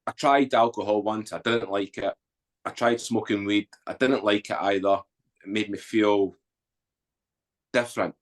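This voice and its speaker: A low-voiced man with an Edinburgh Scottish accent, in his forties. He tells his story candidly as a podcast guest, blunt and earthy, often pausing on an um. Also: fairly even intonation. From Scotland. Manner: blunt, earthy, unguarded talk with frequent ums. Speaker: guest, author and former soldier.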